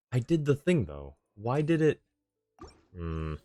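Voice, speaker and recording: A man's voice: mid-range voice, very animated, slow pace, dark tone, dry recording, clean studio sound. A man streaming a video game, chatting casually and muttering reactions as he plays. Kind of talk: casual live gameplay commentary. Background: game music.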